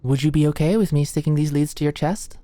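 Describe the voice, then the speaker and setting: Casting: actress as sweet, easily flustered young female medical student for intimate ASMR roleplay; low register, halting delivery, very dry close-miked sound. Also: dark tone, no echo, natural voice. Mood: earnest, explaining. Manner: nervous, hesitant, stammering.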